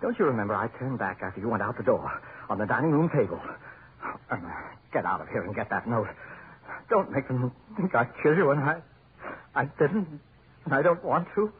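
An American actor playing a desperate, guilt-ridden husband trapped underground in 1940s radio horror, pleading in a halting, melodramatic way. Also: male voice, mid-range voice, big pitch swings, natural voice. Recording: scratchy, narrow 1940s radio recording with hiss.

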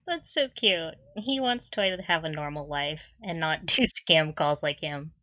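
Bright, giggly woman podcast host. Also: sits low, a little slow, no echo. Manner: giggly, laughs through sentences. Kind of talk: casual chat, fan discussion.

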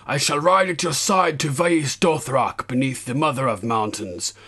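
A man's voice: mid-range voice, moderate pace, pushed and bright, husky, voice pushed up. A proud young warrior, acted by a male audiobook narrator, speaks formally and firmly. He sounds reluctant yet respectful, in a fantasy storybook reading. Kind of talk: audiobook character acting.

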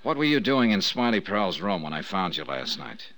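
An actor playing a tough, wry insurance investigator with a clipped, sardonic manner in a 1950s radio drama. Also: male voice, low voice, sing-song, moderate pace, a bit bright, no room sound, heavily acted, low-quality recording. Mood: probing, stern. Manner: clipped, hard-boiled, sardonic. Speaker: lead actor and narrator of a radio detective serial.

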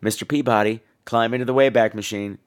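A man hosting an old-time radio podcast, introducing an episode in a playful, relaxed way. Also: on the low side, fairly quick. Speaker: podcast host. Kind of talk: casual show intro.

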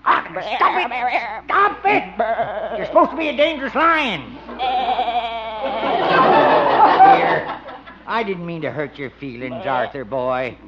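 An actor playing a silly, excitable, self-important small-town man in a 1950s radio comedy. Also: high-pitched, a little slow, crowd noise. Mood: flustered, coaxing. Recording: muffled, very noisy old radio recording.

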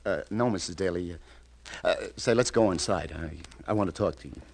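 An actor playing an awkward, kindly police detective lieutenant in a 1950s radio crime drama, speaking hesitantly. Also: male voice, deep voice, moderate pace, darkish tone. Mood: awkward, reluctant. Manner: hesitant, stammering.

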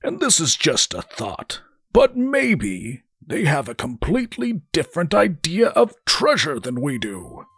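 A man's voice: a little high, wildly swooping pitch, a little slow, fairly bright tone, slightly rough, no echo, pitched above the performer's own voice, exaggerated voice. A man voicing a brash, greedy young halfkin brother who talks in a blunt, scolding way. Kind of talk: audiobook character voice.

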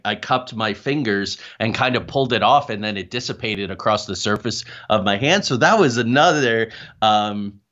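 A middle-aged man telling a personal story as an interview guest, haltingly and correcting himself as he goes. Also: medium pitch, fairly bright tone. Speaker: guest, paranormal investigator. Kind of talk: conversational personal anecdote. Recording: dull, lo-fi sound.

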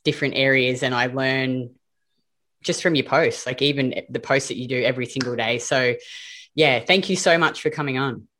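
A woman's voice: a bass voice, moderate pace, fairly bright tone. A woman with an Australian accent hosting a casual group podcast chat, chatty and swearing casually. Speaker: podcast host.

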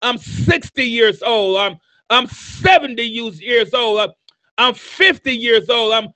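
High-voiced, bright, slow-preaching man in his fifties. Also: no echo, poor audio. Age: 50s. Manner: emphatic, repetitive, building to shouts. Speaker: motivational preacher. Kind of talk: sermon-style motivational speaking.

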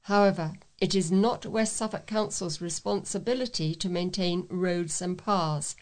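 A woman's voice: lowish voice, measured pace. A woman reading scripted local news items as a volunteer newsreader.

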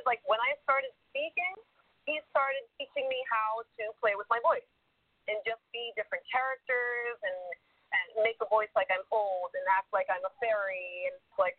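A woman's voice: on the high side, no room sound. A woman singer being interviewed, talking energetically and laughing often. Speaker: singer guest. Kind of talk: casual interview chat. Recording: tinny phone-line sound.

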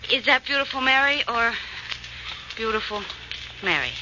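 An actress playing a detective's loyal, playful sweetheart in a 1940s radio drama, full of questions and light teasing, on a very noisy old recording. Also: female voice, fairly high pitch, lively intonation, moderate pace, highs cut off, close to an everyday voice.

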